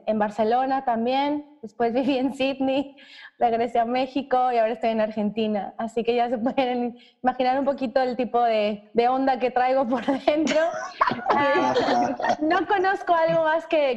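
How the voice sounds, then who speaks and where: A woman's voice: high voice, darkish tone. Bubbly Mexican woman who laughs while talking. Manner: bubbly, laughs through her words. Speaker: guest. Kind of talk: casual self-introduction. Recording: dull lo-fi audio.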